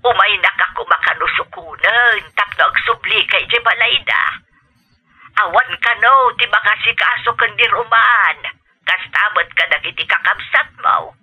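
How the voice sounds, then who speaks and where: A woman's voice: medium pitch, normal speed, sharp tone, husky. A woman acting a protective, grumbling elderly grandmother in an Ilocano radio drama, heard through a telephone filter. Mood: urgent. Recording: tinny band-limited telephone sound.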